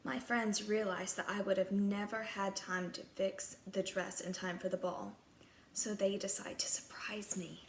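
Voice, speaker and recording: A woman's voice: medium pitch, little pitch movement, unhurried. A woman narrating a scripted children's fairy tale in the first person, in character as a princess. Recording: echoey room, clear background noise.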